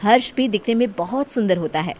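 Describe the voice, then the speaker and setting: A woman's voice: medium pitch, animated, fairly quick, darkish tone, slight background noise. Woman narrating a cartoon story.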